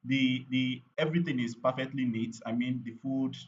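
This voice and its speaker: A man's voice: medium pitch, fairly even intonation, normal speed, highs cut off, a little hiss or hum. A man running a live online training on paid ads, chatty and repetitive, with lots of fillers and "right?" tag questions.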